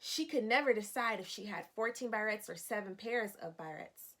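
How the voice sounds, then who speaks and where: A woman's voice: medium pitch, expressive, normal speed, fairly bright tone. A woman reading a picture book aloud like a teacher, guiding young children and putting questions to them. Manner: instructive, addressing young children.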